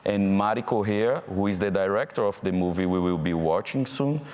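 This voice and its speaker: Brazilian guy hosting a panel in a hall, really low voice, big reverb, kinda halting. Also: a little mellow. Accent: Brazilian Portuguese. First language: Portuguese. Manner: friendly, halting, with fillers and restarts. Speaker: panel moderator. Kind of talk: live panel moderation. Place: event hall. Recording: hall reverb from a live event.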